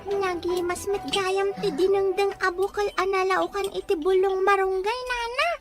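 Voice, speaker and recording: Very high-pitched, plaintive little child. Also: female voice, steady tone, moderate pace, music in the background. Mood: hungry, hopeful. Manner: plaintive, complaining. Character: young hungry child, plaintive and outspoken orphan. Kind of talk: Ilocano audio drama acting.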